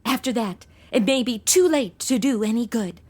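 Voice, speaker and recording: A woman's voice: on the high side, animated, moderate pace, a little mellow. An actress playing a breezy, scatterbrained young modern woman in a comedy audio drama, flippant and full of slang. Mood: amused, flippant. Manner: flippant, slangy Valley-girl phrasing with "like" and OMG. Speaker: lead character and narrator.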